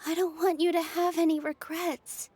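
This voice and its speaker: An actress playing a gentle, idealistic young dancer in a video game, speaking in a sweet, earnest way. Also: female voice, extremely high pitch, little pitch movement, moderate pace. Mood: anxious, caring.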